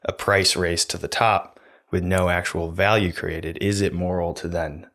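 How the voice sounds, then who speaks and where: Calm monotone young man, deep. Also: measured pace. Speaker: podcast co-host. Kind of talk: casual chat. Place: small room indoors. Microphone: studio mic on stand, close.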